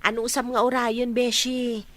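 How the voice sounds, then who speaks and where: A woman's voice: fairly high pitch, little pitch movement, normal speed, lightly acted. A woman playing a chatty, supportive young best friend in an audio drama. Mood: curious, reassuring.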